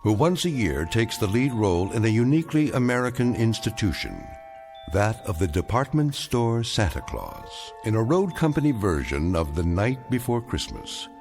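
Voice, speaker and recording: A man narrating a radio drama as a measured, wry and ominous storyteller, deliberate in his delivery. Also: on the low side, sing-song, a little mellow, faint music behind. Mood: wry, portentous.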